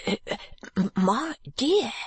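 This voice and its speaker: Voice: medium pitch, big pitch swings, unhurried, a bit bright, breathy edge, dry recording, full character voice. A reader voicing a meek, nervous middle-aged stationer who stammers and pleads anxiously. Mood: flustered pleading.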